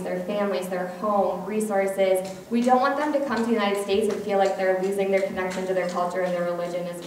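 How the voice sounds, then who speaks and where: A woman's voice: mid-range voice, a little hiss or hum. A woman giving an earnest talk to a live audience about a foster care program, with frequent ums and likes. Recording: echoey room, heard from far off.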